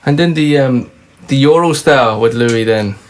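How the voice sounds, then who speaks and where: A man's voice: medium pitch, moderate pace. A man hosting a podcast, asking short prompting questions and giving brief replies. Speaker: podcast host. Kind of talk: casual interview chat.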